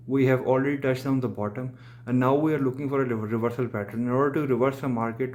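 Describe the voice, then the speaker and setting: A man's voice: medium pitch, darkish tone. Hesitant man with Urdu first language. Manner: hesitant, many fillers and restarts. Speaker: crypto trading channel host. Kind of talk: screen-share chart analysis.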